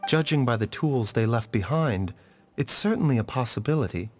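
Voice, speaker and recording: A man plays a composed gentleman physician in a video game. The mid-range voice is richly inflected, with formal, analytical phrasing, over music. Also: medium pitch, animated, natural voice. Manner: formal, deductive, measured phrasing.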